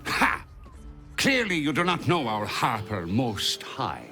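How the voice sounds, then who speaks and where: Game shopkeeper: showy charm over wry grumbling, lively melody, leisurely pace. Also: male voice, medium pitch, takes it slowly, faint music behind, full character voice. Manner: wry, mock-flattering. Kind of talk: video game acting.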